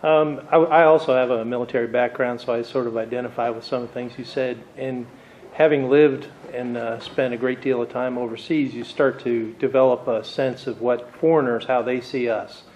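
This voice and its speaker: A man's voice: mid-range voice, fairly even intonation, normal speed, darkish tone. A man in the audience poses an earnest, somewhat hesitant question. His voice comes through dull and lo-fi, with faint hiss. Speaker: audience member asking a question.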